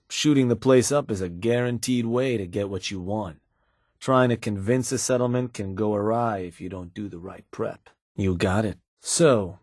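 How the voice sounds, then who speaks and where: An actor playing a shrewd, laid-back raider advisor to a gang boss in a video game, with a casual, deferential manner and a husky voice. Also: male voice, on the low side, darkish tone, no room sound, clean studio sound, natural voice. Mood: casual, persuasive. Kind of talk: video game character dialogue.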